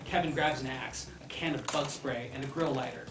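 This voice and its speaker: A man's voice: mid-range voice, fairly quick. A man reading stage directions aloud at a comedy script table read, prone to breaking into laughter. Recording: noisy echoey room, far from mic.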